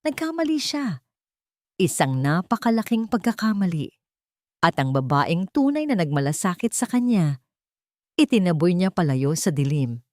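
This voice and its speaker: A woman narrator with a fairly low voice reads a scripted romantic drama. The recording is dry and close, with very little room sound. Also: sing-song, normal speed, long pauses, a little mellow, dry recording.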